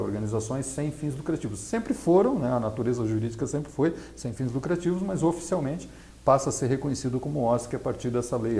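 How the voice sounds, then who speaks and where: A middle-aged man answers as an interview guest. His voice sits at an average pitch with a gravelly creak. He explains like a consultant teaching, pausing and adding tag questions. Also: mellow, a little hiss or hum. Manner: halting, didactic, frequent tag questions.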